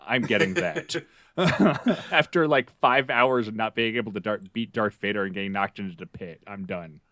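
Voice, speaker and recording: Middle aged guy on a podcast, lowish voice, super dry sound, rambly casual chat, stops and starts. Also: normal speed, sharp tone, no room sound. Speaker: podcast co-host.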